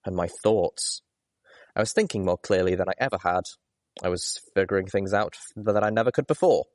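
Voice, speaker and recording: Casting: male audiobook narrator, lowish pitch, expressive first-person storytelling, very dry close recording. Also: normal speed, no room sound. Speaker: audiobook reader.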